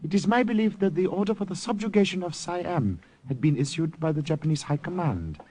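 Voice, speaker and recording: An actor playing a dignified, diplomatic Regent of Siam in an old-time radio drama, with stately, formal phrasing. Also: male voice, on the high side, lively intonation, brisk, mellow, slight background noise, close to an everyday voice. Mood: calm, wry. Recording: vintage radio drama recording.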